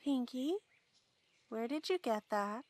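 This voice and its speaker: A woman's voice: on the high side, slow, dry recording. A woman playing an earnest, easily flustered young female pony in a fan audio drama. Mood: puzzled. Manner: earnest, expressive.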